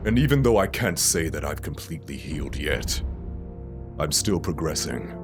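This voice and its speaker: A man's voice: extremely low pitch, sing-song, music in the background, exaggerated voice. An actor playing a proud, hot-tempered but tender king of evil in a theatrical comic dub. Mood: grave, tender. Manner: theatrical, slow and deliberate. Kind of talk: dramatic comic-dub voice acting.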